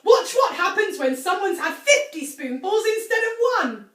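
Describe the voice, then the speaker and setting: A woman's voice: a little high, lively intonation, normal speed, distant mic, natural voice. A woman reading a story aloud and voicing a gleeful, mean old husband who crows and gloats. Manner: crowing, gloating. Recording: echoey room, voice off-mic.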